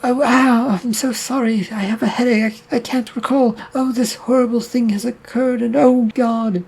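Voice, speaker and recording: A man's voice: very high voice, fairly even intonation, normal speed, gravelly, a little mellow, breathy edge, pitched above the performer's own voice, exaggerated voice. A man voicing a flustered woman reporting a death on the phone, stammering and shaky. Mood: panicked.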